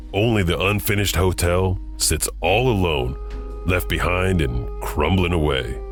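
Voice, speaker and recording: A man narrating urban legends in a measured, ominous manner. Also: deep voice, a bit bright. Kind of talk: scripted horror narration.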